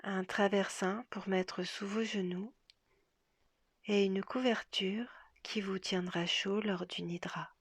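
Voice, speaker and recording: A woman leading a guided relaxation as a yoga nidra guide, speaking softly and gently in a breathy half-whisper with long, measured pauses. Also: medium pitch, steady tone, dry recording, studio quality.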